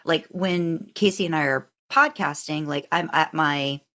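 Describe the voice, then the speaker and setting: A woman's voice: low voice, a little slow, bright, forceful tone. A woman hosting a podcast interview in a casual, informal, rambling conversational way. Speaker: podcast host interviewing a guest.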